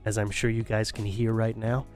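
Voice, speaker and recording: Low-voiced man rambling to his stream. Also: level tone, moderate pace, a little mellow, soft background music. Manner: rambling, many restarts and fillers. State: voice strained, recovering. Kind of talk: casual stream sign-off talk.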